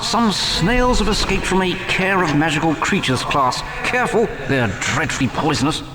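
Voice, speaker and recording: A fussy, courteous gentleman ghost guiding the player through a video game. His high, theatrical voice rings through long hall reverb, eagerly giving instructions over clear background noise. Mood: fussy warning. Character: polite, fussy male house ghost guide. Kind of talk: video game tutorial cutscene acting. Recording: echoey hall reverb, noisy game capture.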